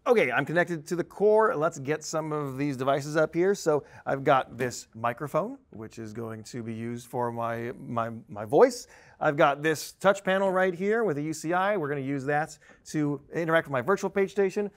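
A man in his thirties teaches in a conversational tutorial style. His voice sits a little higher than most men's. He explains informally, like a trainer walking a class through software. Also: animated, normal speed. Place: indoor presentation studio at a desk. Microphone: lapel mic.

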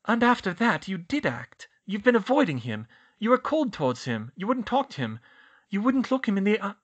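A man voicing a clever, anxious, quick-tempered teenage witch girl, in high, strained outbursts. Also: sing-song, husky, dull audio, exaggerated voice. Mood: accusing.

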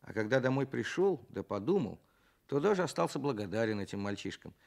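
Guy telling stories and kids poems, really animated, bit gravelly. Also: medium pitch, brisk. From Russia. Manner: expressive, theatrical recitation. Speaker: children's writer guest. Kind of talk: storytelling and reciting children's poems.

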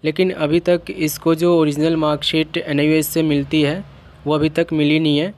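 A man's voice: a little high, flat delivery, moderate pace, a little mellow. A man explaining things to viewers in a vlog, repeating and rephrasing his points as he goes. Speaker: YouTuber helping open-school students.

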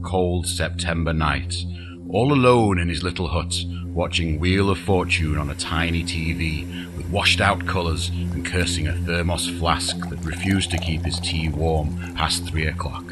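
A man reading a scripted fantasy audiobook in a dramatic, deliberate storytelling manner. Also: low-pitched, background noise. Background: music under the voice.

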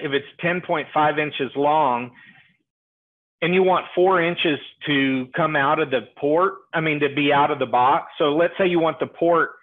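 A middle-aged man presenting a live software tutorial, explaining things step by step over a headset on a video call. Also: on the high side. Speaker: webinar presenter demonstrating software. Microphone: earpiece headset on a video call. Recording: tinny, phone-like webinar audio.

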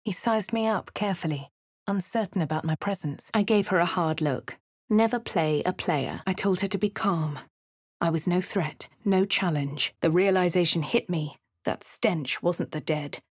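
Posh English heroine: composed, haughty, husky low tone, dry studio sound. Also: female voice, moderate pace, dry recording. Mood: measured. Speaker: player character. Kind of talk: video game voice lines and narration.

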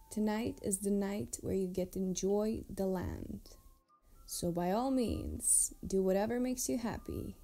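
A woman playing a flirtatious, tender pirate captain in an intimate ASMR roleplay, speaking softly and often close to a whisper. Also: medium pitch, heavily acted. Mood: amused, teasing. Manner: soft, breathy, often near whisper, unhurried. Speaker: audio roleplay performer.

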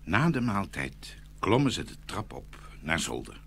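A male storyteller narrates a fairy tale. His voice is low and lively, with a bright, forceful edge and dramatic delivery. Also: unhurried, vocal fry, a little hiss or hum.

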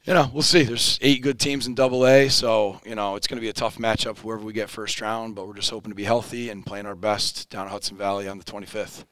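A man in his forties with a lowish, smooth, clear voice gives an upbeat post-game interview as a basketball coach. He talks plainly and repeats words when he restarts. His headset mic in a studio set sounds dry and close. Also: little pitch movement, fast, hardly any pauses, a bit bright, no room sound. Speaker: high school basketball head coach. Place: indoor studio set in front of a backdrop.

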